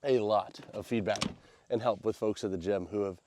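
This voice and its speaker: A man's voice: lowish voice, steady tone, normal speed, background noise. An American man with a congested, nasal voice chats casually as a vlogger, rambling in informal, slangy language. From United States. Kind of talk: casual vlog chat.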